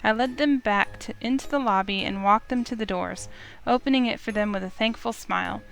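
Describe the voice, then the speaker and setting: Woman reading visual novel story out loud, lots of vocal fry, soft music in the background. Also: on the high side, fairly quick, poor audio. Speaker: game streamer reading the heroine. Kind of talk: narrating a visual novel aloud, in character. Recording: music behind the voice.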